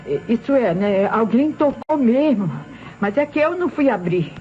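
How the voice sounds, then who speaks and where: Actress as high-voiced, stammering Brazilian housemaid. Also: female voice, expressive, moderate pace, natural voice. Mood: nervous. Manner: stammering, repetitive, servile. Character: nervous, deferential housemaid of a murdered widower. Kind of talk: old Brazilian radio mystery acting. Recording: muffled old radio recording, music.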